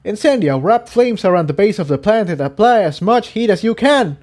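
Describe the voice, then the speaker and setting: Man voicing an earnest unicorn mentor, pitched high. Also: lively intonation, moderate pace, a little mellow. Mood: urgent, commanding. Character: earnest, commanding male unicorn, worried mentor.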